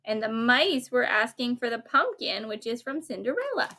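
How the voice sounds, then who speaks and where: A woman in her forties reading a children's picture book aloud, pausing to explain it simply to a small child. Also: on the high side, sing-song, pushed and bright. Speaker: storybook reader to a small child. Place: bright sunroom at home, seated on wicker sofa. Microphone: camera a few feet away.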